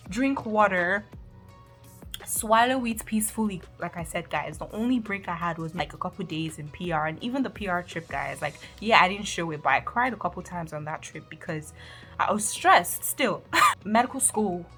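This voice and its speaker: Animated Nigerian woman, low-pitched. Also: normal speed, soft background music. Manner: animated, laughs often, exasperated asides. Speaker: medical student vlogger. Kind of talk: casual vlog storytelling.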